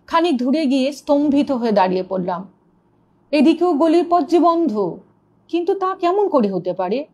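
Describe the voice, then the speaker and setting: A woman narrating a ghost story in an expressive, suspenseful storytelling manner. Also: fairly high pitch. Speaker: story reader.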